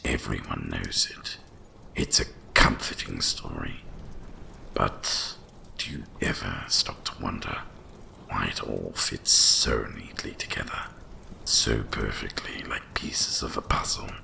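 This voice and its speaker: A man voicing an ancient, cursed winter dragon in a hushed ASMR fantasy monologue, with a gravelly, breathy rumble and slow, mysterious storytelling. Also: full character voice. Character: ancient cursed male winter dragon, wise, once proud, brooding.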